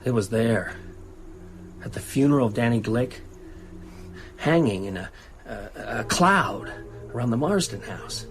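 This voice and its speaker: An actor playing a thoughtful, wry male novelist in an audio drama, measured and a little hesitant. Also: medium pitch, animated, a little hiss or hum. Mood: haunted, reflective. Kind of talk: audio drama narration and naturalistic dialogue.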